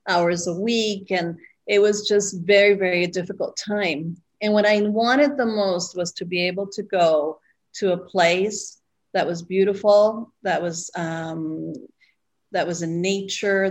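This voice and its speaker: A middle-aged woman guest, born in Costa Rica, telling her story in an interview with many pauses and fillers. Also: fairly low pitch. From Costa Rica, raised abroad. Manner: reflective, pausing with fillers. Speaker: guest, retreat owner.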